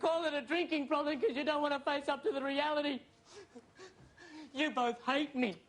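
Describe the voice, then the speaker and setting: High creaky man ranting hysterically. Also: fairly even intonation, moderate pace. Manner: whiny, hysterical, melodramatic rants. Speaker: comedian in a sitcom. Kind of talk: scripted sitcom comedy. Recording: noisy, with loud studio audience laughter.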